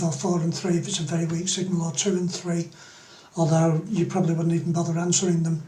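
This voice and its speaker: A man's voice: high voice, a little mellow, roomy sound. A middle-aged man, giving an informal explanatory tutorial as a hobbyist. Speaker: amateur radio hobbyist presenting a tutorial.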